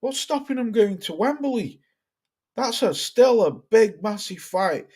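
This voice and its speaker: A man's voice: sits high, expressive, a little slow, a little mellow, slightly rough. A young man from Cheshire with a mixed Cheshire and Stoke accent, hosting a casual livestream, reading viewer comments and chatting bluntly with plenty of swearing. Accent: Cheshire English mixed with Stoke. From Cheshire, England. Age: young adult. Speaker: livestream host.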